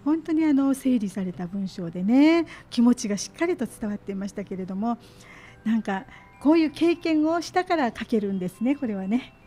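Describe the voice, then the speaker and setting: A woman's voice: on the high side, expressive, brisk, mellow. A woman hosting a casual radio interview with children in a small studio, echoing and affirming what they say in an encouraging way. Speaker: radio host. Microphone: studio mic on boom arm.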